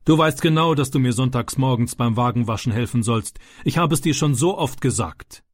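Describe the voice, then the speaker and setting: A man doing a gruff short-tempered stepfather. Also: medium pitch, a little fast, no room sound, clean studio sound, lightly acted. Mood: irritated, angry. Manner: gruff, berating.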